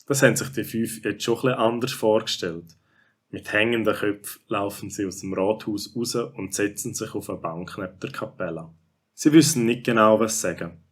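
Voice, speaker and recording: A male narrator tells a children's story with a Swiss German accent. His voice is on the low side. The delivery is scripted storytelling. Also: normal speed.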